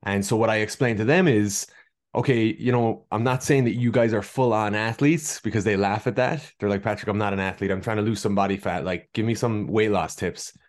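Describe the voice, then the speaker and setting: Easygoing Irish man, low voice. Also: brisk. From Ireland. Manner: friendly storyteller, easygoing. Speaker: guest nutritionist. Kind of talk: casual podcast interview.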